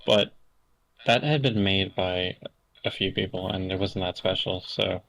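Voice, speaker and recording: A young Canadian man with a low, gravelly voice full of vocal fry, speaking casually as a podcast guest. He rambles and hesitates, restarting his sentences and leaning on filler words. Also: unhurried, fairly bright tone, dry recording, low-quality recording. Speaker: interview guest.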